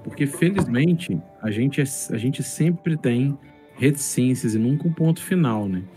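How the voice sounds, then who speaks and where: A man's voice: medium pitch, expressive, measured pace. A middle-aged man with a Brazilian accent explaining things in casual, articulate podcast talk, often checking for agreement. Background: faint music.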